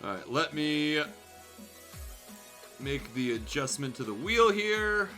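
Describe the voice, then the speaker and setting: A man's voice: fairly high pitch, very animated, slow pace, bright, forceful tone. A man streaming games as show host, chatting casually with wry, sweary remarks. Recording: music playing faintly behind the voice.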